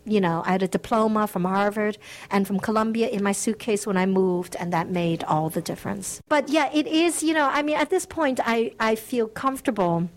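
A woman's voice: medium pitch, moderate pace. Reflective woman guest, earnest. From United States. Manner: earnest, reflective, laughs lightly. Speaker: guest author. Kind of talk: reflective interview answers.

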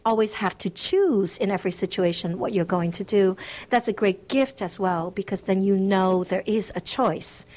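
A woman's voice: mid-range voice, normal speed, a little mellow. Middle-aged woman guest, earnest and reflective, interview answers. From United States. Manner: earnest, reflective, laughs lightly. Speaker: guest author.

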